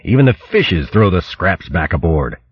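A man's voice: low-pitched, big pitch swings, normal speed, breathy edge, no room sound, highs cut off, full character voice. A man voicing a cheerful male sailor mouse who talks in salty seaman's slang. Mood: grumbling. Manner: salty sailor talk, "mate", "aye".

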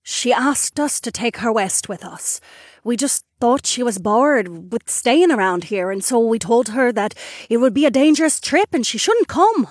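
A composed female mage in an audiobook, acted by a woman, candid and drily cautious. Her voice is fairly high, recorded dry. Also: very animated, moderate pace, no room sound. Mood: explaining. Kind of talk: audiobook character dialogue.

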